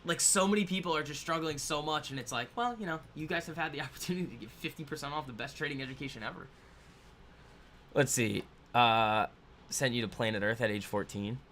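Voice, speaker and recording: Bright-voiced young man chatting with viewers. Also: medium pitch, brisk, slight background noise. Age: 20s. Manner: rambling, laughing, talks to viewers as "guys". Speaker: trading livestream host. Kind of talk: casual livestream chat reading viewer comments.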